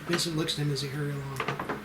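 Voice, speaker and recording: Male narrator, slightly high voice, scripted scene directions, roomy echo, noisy recording. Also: steady tone, fast. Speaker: screenplay narrator and reader. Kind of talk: reading stage directions aloud at an amateur table read. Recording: roomy echo with clear noise.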